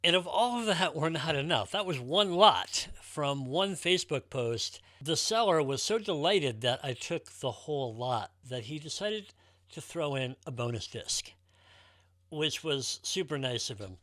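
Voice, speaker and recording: A man's voice: fairly high pitch, lively intonation, measured pace, a bit bright, no room sound, studio quality. A man talking casually and enthusiastically to viewers as a collector presenting his show.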